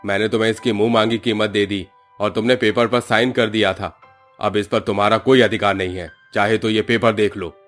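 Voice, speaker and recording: A greedy, cruel village moneylender from a cartoon moral tale, acted out by a male narrator. He talks in a low male voice, bullying and gloating, with music behind him. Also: steady tone, brisk, fairly bright tone, close to an everyday voice. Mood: confrontational.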